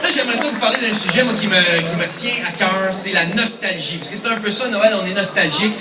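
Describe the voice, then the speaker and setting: A man in his forties with a Québécois French accent doing stand-up comedy, animated and shouting his punchlines. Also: high voice, fast. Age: 40s. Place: large comedy festival hall. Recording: distant mic in echoey hall, crowd noise.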